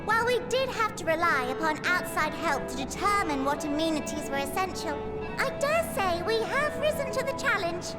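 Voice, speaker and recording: A woman's voice: extremely high pitch, big pitch swings, normal speed, loud music behind the voice. A woman voicing a chirpy, long-winded, self-important little rabbit-like creature in a video game, theatrical and wordy. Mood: proud, cheerful. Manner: theatrical, wordy, archaic phrasing. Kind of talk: scripted video game dialogue.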